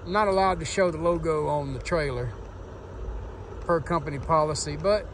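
A man who drives trucks, talking casually to viewers in a folksy, informal way while filming outdoors on a phone. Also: normal speed. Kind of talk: casual vlog narration to viewers. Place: outdoors on a roadside at night. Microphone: handheld phone. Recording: clear outdoor vehicle noise behind voice. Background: rumbling truck engine.

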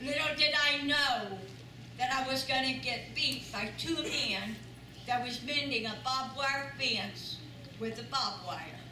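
A woman's voice: sits high, slow pace. An older woman gives an earnest, emphatic testimony in a church, repeating phrases for weight. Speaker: church member giving testimony. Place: large church sanctuary with audience. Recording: echoey sanctuary, voice from far off, noisy.

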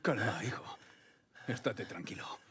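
Game dub casting: gruff middle-aged survivor, Castilian Spanish, low forceful voice, terse and distrustful, faint ambience. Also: male voice, a little slow, exaggerated voice. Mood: firm. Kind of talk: video game dub acting.

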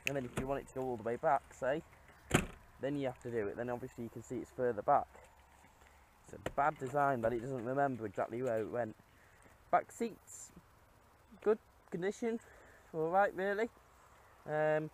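A man's voice: fairly high pitch, slight background noise. Rambling man outdoors on camera. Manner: relaxed, rambling, lots of ums. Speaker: car vlogger. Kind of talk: casual walkaround commentary. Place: outdoors on a quiet rural lane. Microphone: head-mounted action camera.

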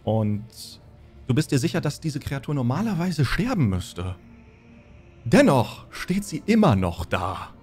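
Man, game master, mid-pitched voice, deliberate descriptive storytelling, faint background music. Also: very animated, darkish tone. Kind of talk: narrating a tabletop fantasy horror game. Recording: music under the voice.

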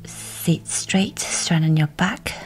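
Female meditation guide, low-pitched, soft and whispery, gentle measured read over faint music. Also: expressive.

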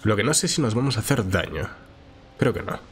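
Congested, nasal man with a lowish voice. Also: animated, brisk. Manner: informal, chatty, thinking aloud. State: sore throat from a cold. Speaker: gaming channel host. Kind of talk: casual Let's Play commentary. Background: game music.

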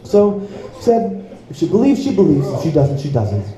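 A man's voice: a little high, big pitch swings, normal speed, a crowd in the background. A young man doing a rambling, self-mocking stand-up comedy set on a small club stage, speaking into a handheld mic. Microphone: handheld mic, heard from the audience. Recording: echoey club with audience noise. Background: audience.

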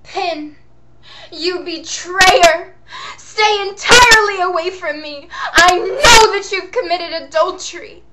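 A woman poet voicing her own passionate, wounded dark inner self, accusing and declamatory in old-fashioned diction. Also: very high voice, expressive, takes it slowly, very noisy, heavily acted. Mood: outraged. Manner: theatrical, declamatory, archaic diction.

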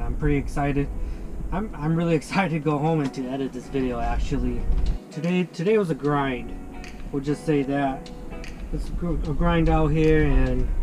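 A man in his thirties, pitched a little high, talks easygoing and chatty into an action camera inside a small pop-up ice fishing shanty. He keeps it casual, peppering his lines with "dude" and "man." Clear music runs behind him. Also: poor audio. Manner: laid-back, chatty, frequent "dude" and "man". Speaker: fishing vlogger. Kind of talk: casual vlog talk to camera. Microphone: action camera mounted inside the shanty. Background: background music.

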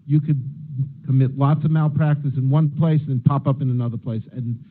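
A middle-aged man speaking as a panelist at a conference table, explaining slowly with many fillers and restarts. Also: mid-range voice, fairly even intonation. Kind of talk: panel discussion remarks. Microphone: handheld mic. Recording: tinny phone-like sound.

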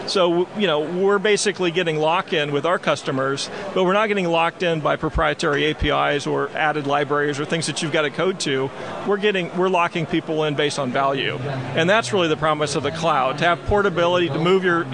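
A man in his forties, a company executive, answering interview questions on a busy trade show floor with many "um" fillers, speaking into a handheld mic. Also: fairly high pitch. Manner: lots of "um" fillers, gestures while talking. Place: busy expo hall floor. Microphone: handheld mic held by interviewer. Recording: noisy crowded expo hall. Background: crowd chatter.

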